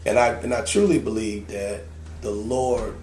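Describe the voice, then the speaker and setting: A man's voice: fairly low pitch, a little slow, darkish tone. Echoey-sounding man, emphatic personal storytelling. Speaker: podcast guest. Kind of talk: candid personal storytelling in conversation. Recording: echoey room with background noise.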